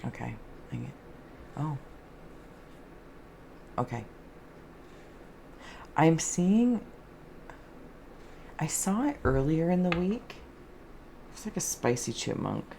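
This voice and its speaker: A woman hosting an improvised spiritual card and scrying reading, soft-spoken, with a dreamy, meandering, alliterative way of talking. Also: deep voice, very animated, takes it slowly, vocal fry, pauses often, slight background noise. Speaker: host, tarot and scrying reader.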